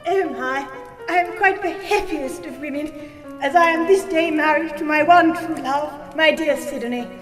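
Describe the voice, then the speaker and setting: Gushing snobbish young bride acted by a woman, extremely high and genteel, diary entries in echoing reverb. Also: normal speed, far from the mic, close to an everyday voice. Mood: gushing delight. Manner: affected, genteel, effusive. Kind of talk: period parody audio drama. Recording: echoey reverberant room, faint music behind.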